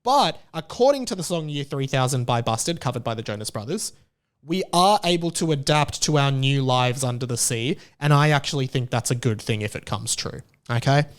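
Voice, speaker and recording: A man's voice: mid-range voice, animated, moderate pace, clear, resonant tone. A young man with an Australian accent hosting a podcast, talking in a chatty, jokey, opinionated way. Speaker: podcast host. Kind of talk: casual podcast monologue.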